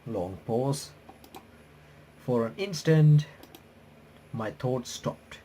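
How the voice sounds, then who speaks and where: Slow-paced man reading a visual novel aloud. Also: medium pitch, animated, mellow, slight background noise. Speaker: YouTuber playing and reacting to a visual novel. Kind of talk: reading game text aloud with casual commentary.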